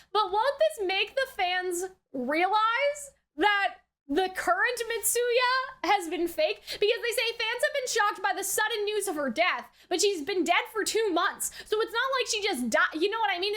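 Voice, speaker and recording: A very high-voiced woman gives unscripted, casual commentary as a reaction host. She reasons aloud in a rambling, conversational way, often tagging her points with little check-in questions. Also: sharp tone. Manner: rambling, theorising aloud, frequently checks with "right?" and "you know".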